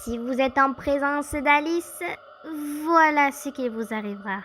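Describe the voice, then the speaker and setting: A woman's voice: high voice, measured pace, a bit bright, slight background noise. A woman voicing a composed, didactic female teacher in an amateur cartoon dub, lecturing her pupils. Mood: calm lecturing.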